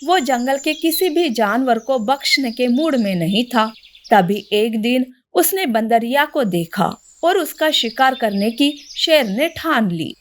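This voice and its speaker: A woman's voice: fairly high pitch, expressive, slow pace, a little hiss or hum. A woman narrating a scripted children's story.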